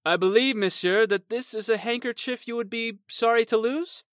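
A man voicing a young Gascon who is eager, formally polite and quick to take offense, in a dramatized storybook reading. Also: very high-pitched, fairly bright tone, no room sound, clean studio sound, pitched above the performer's own voice. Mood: polite, apologetic. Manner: courteous, formal, earnest.

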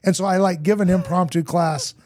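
A man who grew up in Argentina, a podcast guest telling lively stories in conversation. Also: sits high, fairly quick, no echo, studio quality. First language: English. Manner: lively storyteller acting out anecdotes. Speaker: guest, marketing executive.

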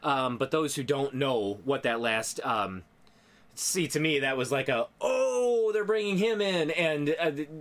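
A man's voice: a little high, animated, measured pace, a bit bright. A man co-hosting a podcast in casual chat, explaining things emphatically. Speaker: podcast co-host. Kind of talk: casual chat about comics.

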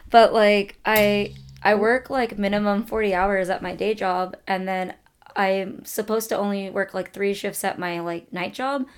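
A young woman co-hosting a podcast, hoarse from losing her voice, talking loudly and excitedly with frequent laughs and casual swearing. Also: medium pitch, measured pace. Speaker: podcast co-host. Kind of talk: casual chat.

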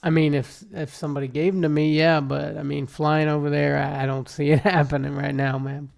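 A man in his thirties, a guest on a sports podcast, chatting in a relaxed way and laughing easily. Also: fairly high pitch, a little fast, creaky. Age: 30s. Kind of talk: casual sports chat.

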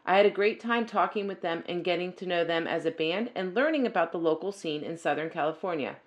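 A woman's voice: fairly low pitch, quick pace, highs cut off. A woman hosting a podcast, interviewing a band in an easygoing, encouraging way. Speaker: podcast host.

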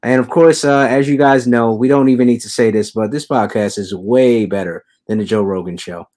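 Male podcast host, average pitch, jokey ribbing tone. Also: brisk, darkish tone. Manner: joking, teasing, laughs often. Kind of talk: casual podcast chat.

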